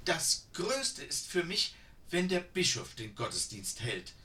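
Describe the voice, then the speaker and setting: A man's voice: on the high side, sing-song, slow, bright, forceful tone, far from the mic, exaggerated voice. A man voicing a kind, breathless, plump old village woman with an eager, fussing manner. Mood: chatty.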